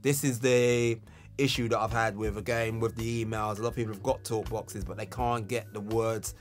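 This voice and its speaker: A man's voice: fairly low pitch, level tone, moderate pace. A man giving an instructional tutorial as a music tutor, explaining technique with emphasis on key words.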